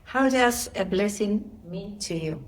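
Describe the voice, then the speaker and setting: A woman's voice: medium pitch, animated, a little slow. A woman interviewer, politely introducing a guest and putting prepared questions.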